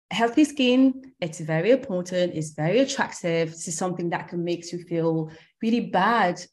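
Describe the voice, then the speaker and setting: A woman from Cameroon in her thirties speaks as a guest founder in a relaxed interview. Her voice sits a little low, and she talks in a reflective way, with frequent ums and small laughs. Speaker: skincare company founder, guest. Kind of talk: conversational interview.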